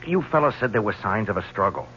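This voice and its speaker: A man's voice: on the low side, animated, quick pace, slight background noise, lightly acted. An actor playing an anxious, defensive young man devoted to his mother in a radio drama, stammering and edgy. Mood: nervous. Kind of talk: 1970s radio drama acting. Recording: muffled old radio broadcast.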